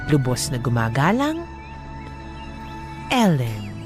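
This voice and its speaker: A woman's voice: very deep voice, wildly swooping pitch, slow pace, music in the background. A woman radio co-host who reads listener letters and chats about them in a conversational way. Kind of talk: letter reading and lively chat.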